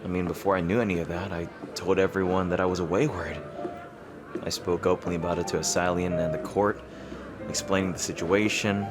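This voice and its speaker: A man playing a guarded, flustered, gently teasing rival fantasy king in an intimate roleplay audio drama, speaking hesitantly. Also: low voice, little pitch movement, over music, lightly acted. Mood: earnest, subdued. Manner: hesitant, halting.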